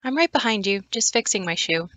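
A woman's voice: medium pitch, expressive, a little fast, bright, forceful tone, no room sound. A woman playing a jumpy, casual schoolgirl in an audio play, on a slightly lo-fi recording.

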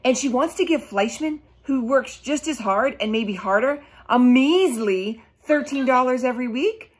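A middle-aged woman reading a children's book aloud, animated and emphatic, breaking off to question her young listeners. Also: high-pitched, normal speed, breathy edge. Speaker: storybook reader for children. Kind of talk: children's read-aloud with asides. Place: small room at home, indoors.

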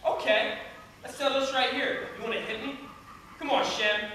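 Casting: young man as stern, bossy brother in a stage play, very high bright voice, heard from far off in an echoey hall. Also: fairly even intonation, normal speed, noisy background. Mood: angry. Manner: scolding, emphatic. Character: bossy, quarrelsome man, stern son on the ark. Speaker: amateur stage actor. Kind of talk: loud, declamatory school stage acting. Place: theatre stage, distant audience camera.

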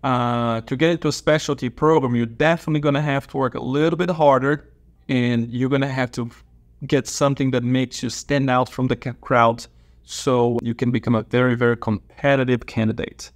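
A man's voice: medium pitch, steady tone, a little fast. A man in his thirties, a native Portuguese speaker from Brazil, gives advice straight to camera while seated at a desk in a small office. He gestures with open hands as he explains, repeats his key points for emphasis, and is recorded on a clip-on lapel mic. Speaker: dentist and educator.

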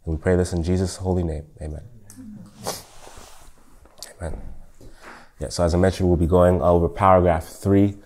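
A man in his thirties teaching a church Sunday school lesson, a little nasal from a cold, measured and fond of asking "right?". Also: low voice, level tone, noisy background. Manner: measured, repeats "O Lord" and "right?". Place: church meeting room at a table.

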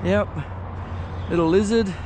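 A middle-aged Australian man giving relaxed, chatty fishing commentary by a lake, filmed on a head-mounted action camera. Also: sits high. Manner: relaxed, chatty, unhurried with fillers. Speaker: fishing vlogger. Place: outdoors by a lake shore. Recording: outdoor noise, wind and water.

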